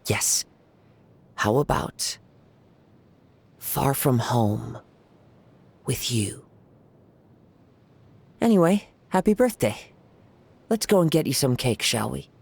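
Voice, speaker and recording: Serene young swordsman poet, soft-spoken and gentle, game character. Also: mid-range voice, big pitch swings, takes it slowly, creaky, long pauses, slightly rough, full character voice. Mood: gentle reflective. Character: calm young male wandering samurai poet.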